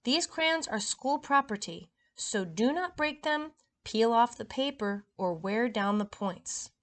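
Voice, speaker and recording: A woman's voice: a little high, lively intonation, slow. A woman voicing a strict, bossy first grade teacher from a storybook, firm and emphatic in her instructions. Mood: stern.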